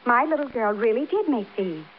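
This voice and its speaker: A woman's voice: a little high, lively intonation, moderate pace, natural voice. An actress playing a fragile, newly widowed American woman, genteel, hesitant and politely evasive, in old-time radio drama. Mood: brittle politeness. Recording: muffled, noisy vintage radio broadcast.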